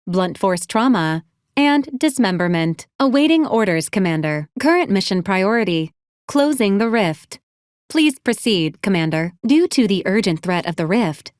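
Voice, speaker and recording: A woman's voice: medium pitch, darkish tone, no room sound, clean studio sound, close to an everyday voice. A woman playing a polite, literal robot assistant unit in a video game, speaking formally and precisely with clinical detachment. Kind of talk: scripted video game character lines.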